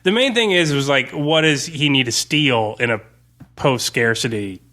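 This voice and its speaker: Bright-voiced man in casual podcast chat. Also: medium pitch, normal speed. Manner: rambling, animated retelling with swearing. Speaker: podcast guest, tabletop game master. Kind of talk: casual chat, campaign post-mortem.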